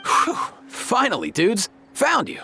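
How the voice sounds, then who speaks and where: A man voicing an easygoing young surfer-type guy in a video game, slangy and relaxed. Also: sits high, slow pace, slight background noise, exaggerated voice. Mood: laid-back.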